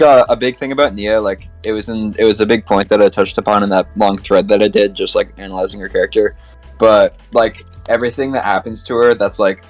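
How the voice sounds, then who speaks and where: A man with a fairly low voice talks casually on a fan podcast. He rambles and pads his sentences with "like", with music playing behind him. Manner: rambling, filler-heavy with frequent "like". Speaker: podcast panelist. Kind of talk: casual fan discussion of an animated series.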